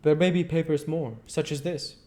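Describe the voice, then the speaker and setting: A man voicing an elderly foreign professor, speaking in earnest, halting phrases at a raised pitch. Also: fairly even intonation, warm tone, lightly acted.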